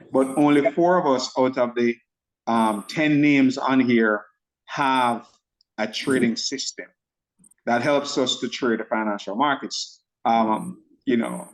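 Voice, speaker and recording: A man with a Jamaican accent tinged by American and Nigerian speech hosts a group video call. He talks fast and eagerly, running over his words as he pitches. His voice sits at an ordinary middle pitch. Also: low-quality recording. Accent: Jamaican, blended with American and Nigerian. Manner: excited, speaks fast and runs over words. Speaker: host presenting a trading system. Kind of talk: sales presentation on a group call.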